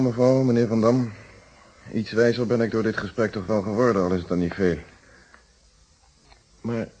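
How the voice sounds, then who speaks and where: Husky, low-voiced police inspector. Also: male voice, mellow, rough recording. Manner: formal, measured, probing. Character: courteous, methodical male police inspector conducting an inquiry. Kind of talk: Dutch radio play acting.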